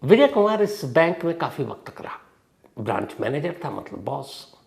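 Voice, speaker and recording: Male true-crime host, heavy vocal fry, conversational narration, emphatic openings and trailing-off endings. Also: sing-song, darkish tone. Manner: dramatic pauses and emphatic stresses, sentences trailing off low.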